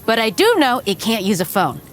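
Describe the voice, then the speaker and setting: A woman's voice: a little high, big pitch swings, brisk, a bit bright, slight background noise. A woman speaking in short remarks.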